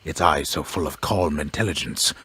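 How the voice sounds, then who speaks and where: A man playing a grave, pompous storyteller in a mythic video game, narrating deliberately with wry, deadpan solemnity and a gravelly voice. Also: a bass voice, no room sound, clean studio sound, full character voice. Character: grave, pompous old storyteller narrating Greek myth, wryly ominous. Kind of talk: scripted dramatic storybook narration.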